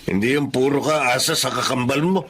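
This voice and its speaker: A man's voice: medium pitch, a little fast, a bit bright, slightly rough. An actor playing a stern, demanding middle-aged Filipino father in a radio drama, with a critical, domineering manner. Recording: music behind the voice.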